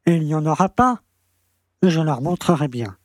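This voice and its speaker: Casting: male actor for pompous, slippery town mayor, talking down to everyone, fairly high voice, radio play. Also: lively intonation, moderate pace, dry recording, clean studio sound. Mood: haughty, insistent. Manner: pompous, patronizing. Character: pompous small-town French mayor, condescending and evasive. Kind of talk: audio drama acting.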